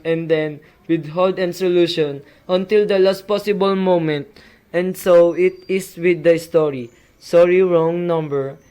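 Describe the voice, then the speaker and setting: A man's voice: high voice, a little mellow, smooth, poor audio. A young man playing a mysterious host, reading scripted narration for an amateur suspense radio play. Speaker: announcer.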